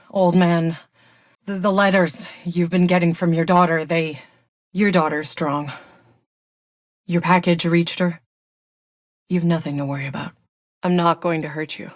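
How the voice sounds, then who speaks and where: A woman's voice: lowish voice, lightly acted. A woman playing a hardened, sardonic female soldier in a sci-fi video game, with a dry, blunt, clipped delivery. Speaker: video game player character. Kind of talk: naturalistic game character voice lines.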